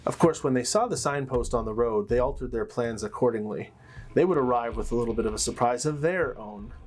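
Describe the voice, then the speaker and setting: A man's voice: mid-range voice, a little fast. A man tells a dramatic fantasy story as a game master, slipping into casual asides. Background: soft plucked-string music.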